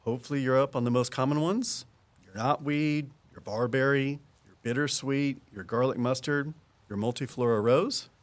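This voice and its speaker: An actor playing an easygoing small-town conservation officer in an audio drama, explaining things in a folksy, patient way. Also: male voice, medium pitch, normal speed, close to an everyday voice. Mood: easygoing, encouraging.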